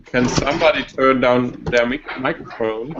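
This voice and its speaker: Halting man on a noisy muffled call. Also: mid-range voice. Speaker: participant in an online group talk. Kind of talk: casual tech chat. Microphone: online voice call.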